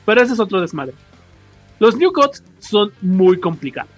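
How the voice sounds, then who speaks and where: A man's voice: high voice, very animated, normal speed. A Mexican man co-hosting a podcast, explaining things casually in slangy, rambling talk. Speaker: podcast co-host. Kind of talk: casual explanatory chat about comics. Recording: dull podcast audio with music.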